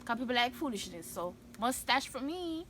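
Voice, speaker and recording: A young Jamaican woman hosting her vlog, chatting animatedly and breaking into loud laughs and shouts. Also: fairly high pitch, big pitch swings, normal speed, slight background noise. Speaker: vlogger host. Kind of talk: casual chat answering questions.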